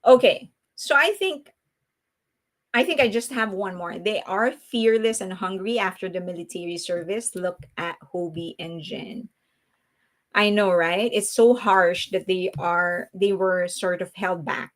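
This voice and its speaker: A woman's voice: lowish voice, unhurried. A woman with a Filipino accent hosting a live stream, chatting casually with her viewers, laughing often and restarting her sentences. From Philippines. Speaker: livestream host.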